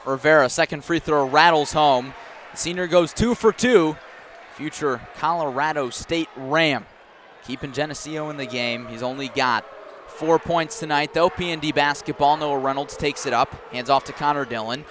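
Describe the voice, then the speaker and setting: Male radio basketball announcer, fairly high voice, clipped running call, light game noise in background. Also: moderate pace, fairly bright tone. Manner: clipped running description, rising on big plays. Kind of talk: live radio sports commentary. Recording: faint gym noise, bouncing balls. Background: basketball game in a gym.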